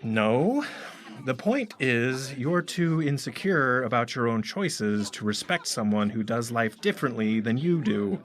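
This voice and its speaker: An actor playing a blunt, easygoing house builder in a romantic comedy radio play. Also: male voice, mid-range voice, lively intonation, unhurried, lightly acted. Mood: defensive, blunt. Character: blunt, easygoing male house builder, proud of his work.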